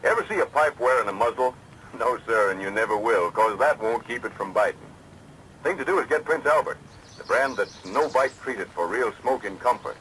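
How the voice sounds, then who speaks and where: A man's voice: medium pitch, animated, a little fast, a bit bright. An American man reading a 1940s radio commercial in a folksy, punchy sales delivery, on a noisy old recording. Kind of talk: 1940s radio advertising read.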